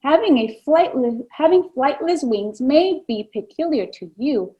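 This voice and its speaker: A woman's voice: high voice, animated, unhurried, warm tone, dull audio. A woman voicing a cheerful, confident parrot in a children's storybook, with an emphatic delivery. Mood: proud, upbeat. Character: cheerful, confident flightless kakapo parrot, self-accepting.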